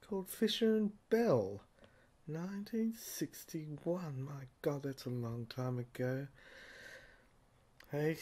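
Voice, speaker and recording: A man plays a musing investigator in an audio drama, thinking aloud over notes. He speaks slowly and hesitantly, muttering to himself in a low-key, conversational way. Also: darkish tone. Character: musing male investigator puzzling over someone's handwritten notes.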